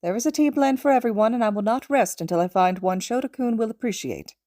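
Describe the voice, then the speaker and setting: Woman voicing an insistent, high-pitched little genius creature. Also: expressive, fast, warm tone, dry recording, clean studio sound, close to an everyday voice. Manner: emphatic, precise, wordy. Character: small, super-intelligent male creature, insistent, refined mentor.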